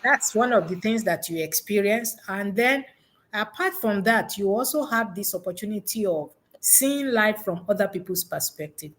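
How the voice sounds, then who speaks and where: A woman's voice: mid-range voice, animated, normal speed, fairly bright tone. A woman giving unscripted, conversational interview answers as an education consultant, reflective and rephrasing her points for emphasis.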